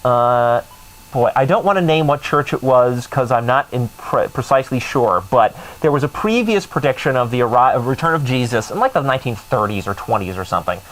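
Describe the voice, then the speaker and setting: A man's voice: medium pitch, animated, normal speed, poor audio. A man co-hosting a call-in talk show, speaking casually in a sardonic, mocking way.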